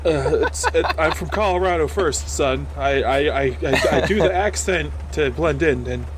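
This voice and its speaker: A man's voice: a little high, moderate pace, very noisy. A man playing a tabletop game, improvising in-character talk in a casual, profane way.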